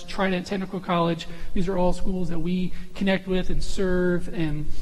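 A young man with an unusually high voice, a visiting college minister opening his sermon in a friendly, self-deprecating, chatty way. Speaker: guest preacher, college minister. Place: church sanctuary. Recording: long church reverb.

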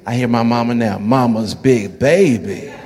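A man's voice: mid-range voice, measured pace, a little mellow, a little hiss or hum. A man in his forties, a pastor, preaching a sermon in a conversational way and often repeating words as he builds his phrases. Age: 40s.

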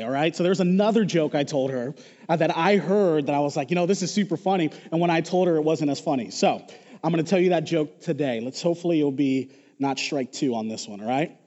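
A hoarse male pastor giving a casual, joking sermon to his congregation in a church. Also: a little high, fast talker, dark tone. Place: church sanctuary. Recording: dull echoey church hall recording.

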